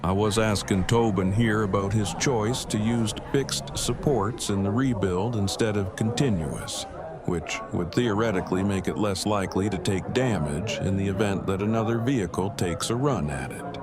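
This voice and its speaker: Audio drama role: male actor as socially awkward brainy man, low register, formal verbose deadpan, music underneath. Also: moderate pace, natural voice. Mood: awkward, reluctant. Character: awkward, formal, bookish man with stiff wordy speech. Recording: music playing behind the voice.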